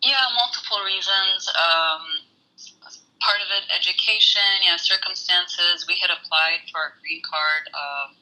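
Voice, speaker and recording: A woman in her thirties from Saudi Arabia, an engineer talking through her story in a casual interview, hesitant with many pauses. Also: fairly low pitch, pushed and bright, poor audio. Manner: thoughtful, hesitant with many ums. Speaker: guest, engineer.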